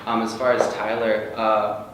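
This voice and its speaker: Even-toned man in a very echoey room. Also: fairly low pitch, a little slow. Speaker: actor at a table read. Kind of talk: earnest group discussion. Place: indoor room with a group. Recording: very echoey room, distant, noisy.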